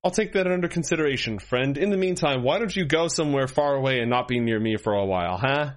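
A man's voice: medium pitch, expressive, fast, voice pushed down, lightly acted. A man voicing a haughty, self-important male prince who speaks in indignant, clipped phrases. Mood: dismissive.

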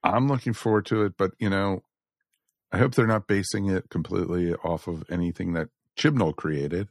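Male co-host, low-pitched, dry close sound, casual fan banter. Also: fairly even intonation, normal speed, no echo. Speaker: podcast co-host. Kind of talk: casual banter and short interjections.

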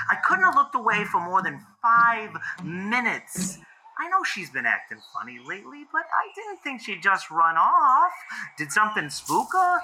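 A young American boyfriend with a very sharp, forceful voice that swings widely. He rambles and swears in found-footage drama style, over noticeable background noise like a phone message. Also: sits high, heavily acted, rough recording. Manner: rambling, profane, self-questioning. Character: frantic, foul-mouthed young boyfriend searching for his girlfriend. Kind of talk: naturalistic found-footage audio drama acting.

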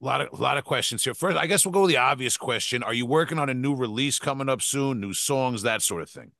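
A man's voice: mid-range voice, expressive, fast talker, creaky, sharp tone, dry recording, clean studio sound. A man in his forties hosting a casual interview, asking rambling questions with many restarts. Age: 40s. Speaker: podcast host.

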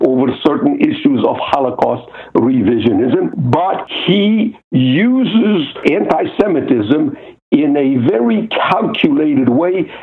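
A man's voice: a little high, lively intonation, takes it slowly. Man on a phone line. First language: Hungarian. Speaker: guest expert. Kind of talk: interview answer.

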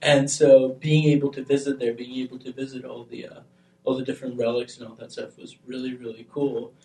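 Young guy from albania, casual chat, gets excited telling stories, echoey room. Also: mid-range voice, a little fast, creaky, far from the mic. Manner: animated, grows excited when telling stories. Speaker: podcast panelist. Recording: roomy, off-mic sound.

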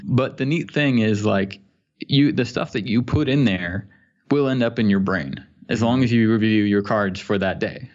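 Low-voiced man on a dull podcast recording. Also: normal speed, darkish tone. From Sweden. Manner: explanatory, informal. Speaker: podcast guest. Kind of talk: casual tech podcast chat.

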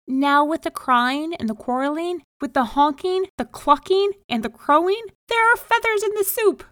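A woman's voice: fairly high pitch, measured pace, darkish tone, dry recording. A woman voicing a poor, frantic man in a storybook, pleading and exclaiming melodramatically. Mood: desperate pleading. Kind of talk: storybook read-aloud character.

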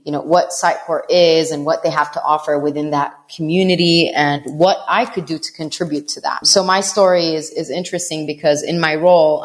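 A woman from the Middle East, a company CEO, answering interview questions fluently, often restarting and repeating words. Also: deep voice. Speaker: podcast guest, company CEO.

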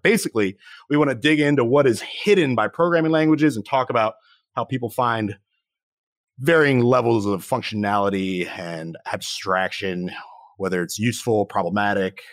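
Male podcast host, low-ish, playful, dry close sound. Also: no room sound. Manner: upbeat, playful, repeats words. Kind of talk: podcast intro and interview.

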